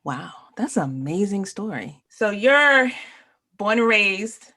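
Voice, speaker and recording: A woman hosting a casual interview, asking questions in a lively, playful way with quick jokes. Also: medium pitch. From Trinidadian family, in Boston area. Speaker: host, interviewer.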